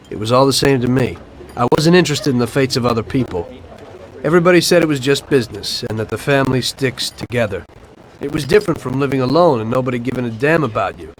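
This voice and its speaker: A man's voice: medium pitch, lightly acted, low-quality recording. An actor playing a former cab driver turned mafioso who coolly tells his story to a detective in a game cutscene. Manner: calm, matter-of-fact storytelling. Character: male mafioso turned informant, calm and unrepentant. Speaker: narrator of his past. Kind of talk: video game cutscene acting.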